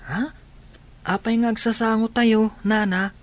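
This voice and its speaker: An actress playing a worried, questioning village child in an Ilocano radio drama, with an Ilocano accent. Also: female voice, a little high, fairly even intonation, a little slow, close to an everyday voice.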